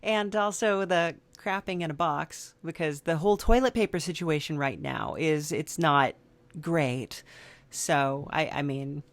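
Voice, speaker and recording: Slow-talking woman podcast host. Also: low voice, expressive, dry recording. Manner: dry, playful, rambling with fillers. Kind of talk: improvised comedy interview.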